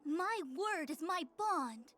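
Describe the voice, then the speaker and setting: A woman voicing a proud, exacting female diviner in a video game, speaking with imperious, formal, measured diction. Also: very high voice, lively intonation, fairly bright tone, full character voice. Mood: stern, assured.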